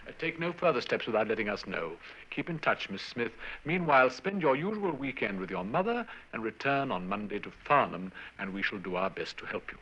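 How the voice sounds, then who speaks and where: An actor playing a clever Victorian detective in an old radio drama, speaking crisply and briskly. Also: male voice, on the low side, very animated, bright, forceful tone, exaggerated voice. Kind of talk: 1940s radio acting. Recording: dull old radio broadcast.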